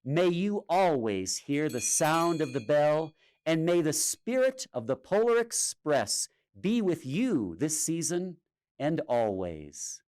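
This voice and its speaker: A man reading a children's story aloud in a theatrical, expressive way with drawn-out pauses. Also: on the high side. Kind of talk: dramatic children's storytime narration. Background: music and sound effects.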